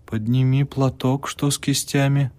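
A man reading an audiobook, voicing a feverish, frightened peasant woman who pleads in a shrill, agitated voice. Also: flat delivery, a little slow, darkish tone, lightly acted. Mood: bitter.

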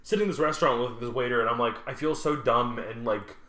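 Male podcast co-host, crisp bright tone, lively storyteller, roomy recording. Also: medium pitch, fast talker. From Montreal. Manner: animated storyteller, swears casually. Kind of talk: casual chat.

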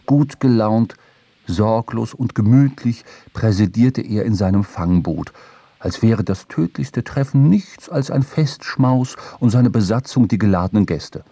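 A man narrating a literary audio drama with a husky voice, recorded in a studio. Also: on the low side, unhurried, dark tone, dry recording. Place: dry studio.

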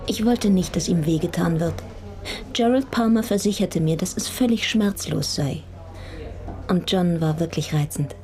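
An actress playing a cold, defiant heiress in a radio crime drama, speaking in a cool, matter-of-fact way. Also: female voice, on the low side, normal speed, a crowd in the background, natural voice. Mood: confessing. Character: cold, defiant heiress who had her father killed.

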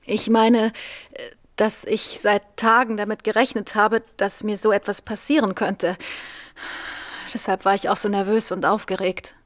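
A woman's voice: medium pitch, little pitch movement, measured pace, natural voice. An actress playing a nervous, anxious woman seeking help in a radio drama, speaking hesitantly and earnestly.

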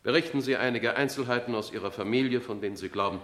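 An actor playing a formal, commanding official who keeps order at a hearing in a German radio play. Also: male voice, medium pitch, quick pace, a bit bright, lightly acted. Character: official master of ceremonies keeping order at a hearing.

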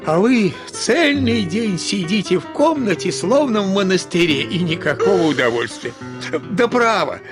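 A man's voice: high voice, sing-song, moderate pace. An actor playing an elderly manservant in a radio play, folksy and fussy as he coaxes and scolds his mistress. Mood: coaxing reproach. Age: older. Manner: folksy, coaxing, grumbling. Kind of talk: Russian radio play acting. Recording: studio radio play with background music.

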